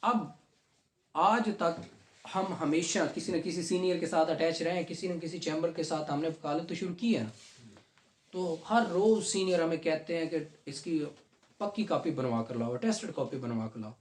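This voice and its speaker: A man teaching a law class, reading legal text slowly and then explaining it conversationally to students. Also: a little high. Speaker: law lecturer. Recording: echoey classroom.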